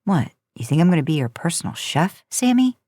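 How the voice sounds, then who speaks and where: A woman's voice: low-pitched, sing-song, a little fast, warm tone, no room sound, studio quality. A woman voicing a cocky, affectionate young big brother in an audio story, teasing in casual slang.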